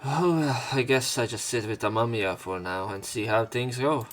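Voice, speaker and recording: A man's voice: mid-range voice, moderate pace, faint music behind. A man hosting a let's play, reading a visual novel aloud theatrically and adding casual commentary.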